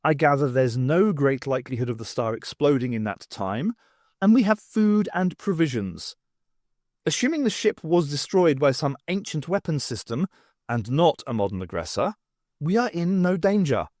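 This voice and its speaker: Man playing a pompous, lively academic orator. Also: fairly high pitch, normal speed, no echo, clean studio sound, lightly acted. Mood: reassuring, self-satisfied. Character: self-assured male academic, pompous orator.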